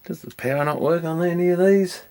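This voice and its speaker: A man's voice: fairly high pitch, a little fast, mellow, clean tone. A man giving casual, hands-on repair commentary, thinking aloud in a rambling, matter-of-fact way as he works through electronics. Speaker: electronics repairer presenting a video.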